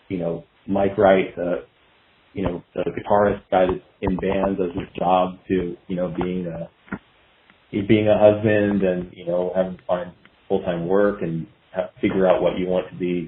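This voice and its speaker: A man with a low voice talks casually as an interview guest. He rambles reflectively in a halting, loose way. The sound is thin and phone-like, with a roomy echo around him. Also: flat delivery, a little hiss or hum. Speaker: guest musician, guitarist. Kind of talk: casual podcast interview answers.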